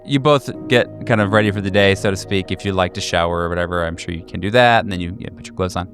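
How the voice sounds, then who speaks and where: A man running a tabletop game, narrating off the cuff in a casual, halting, easygoing way. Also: low voice, fairly bright tone, soft background music. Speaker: game master.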